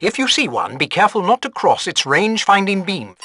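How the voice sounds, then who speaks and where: An actor playing a fussy British gadget master in a spy video game, speaking formally in a clipped, dryly exasperated way. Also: male voice, high voice, big pitch swings, a little fast, bright, forceful tone, slightly rough, full character voice. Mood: brisk, instructive. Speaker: mission support quartermaster. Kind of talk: video game mission briefing voice acting.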